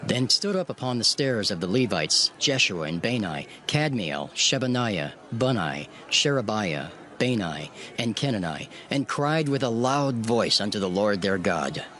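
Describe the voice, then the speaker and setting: A man narrating a dramatized scripture reading in a formal, measured storytelling manner. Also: on the low side, sing-song, fairly bright tone.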